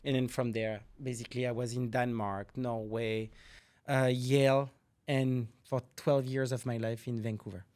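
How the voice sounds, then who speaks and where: French-accented middle-aged academic man, explanatory podcast guest, plain mid-pitched voice, loose phrasing. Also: little pitch movement, moderate pace, darkish tone. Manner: explanatory, loose non-native phrasing with restarts. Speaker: marine ecology professor, podcast guest. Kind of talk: podcast interview.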